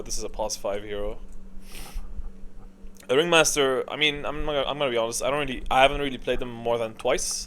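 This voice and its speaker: Casual, opinionated man rambling through gaming commentary. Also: a little high. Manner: rambling, opinionated, emphatic slang. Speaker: gaming video creator.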